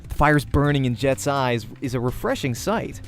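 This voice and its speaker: A man hosts a let's play, reading visual novel narration aloud. His voice sits at a middle pitch. Music plays behind him. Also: expressive, normal speed. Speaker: let's play host and reader.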